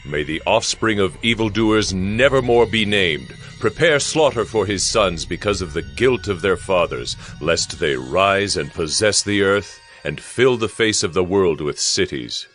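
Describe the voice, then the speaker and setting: Very deep-voiced solemn male narrator. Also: animated, measured pace, few pauses, a bit bright, faint music behind. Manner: solemn, emphatic, declamatory. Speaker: scripture narrator. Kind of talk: dramatic scripted scripture narration.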